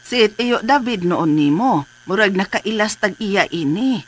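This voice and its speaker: A woman's voice: mid-range voice, expressive, a little slow. An actress playing a cautious, peace-keeping older farmer's wife and mother in a radio drama, with a Cebuano Filipino accent. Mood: cautious, explaining. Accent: Filipino, Cebuano-speaking.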